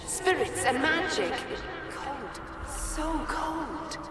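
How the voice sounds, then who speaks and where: A woman playing the sorrowful, fragmented spirit of a long-dead video game elf, speaking in halting, dreamlike phrases. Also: high voice, animated, heavy background noise, exaggerated voice. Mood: haunted, solemn. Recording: distant, heavy reverberant echo under music.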